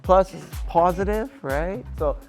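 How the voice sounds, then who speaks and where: A man's voice: high voice, unhurried. Casual, rambling man DJ with music behind. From Canada. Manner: casual, profane, rambling with fillers. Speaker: DJ and music producer. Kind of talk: documentary interview.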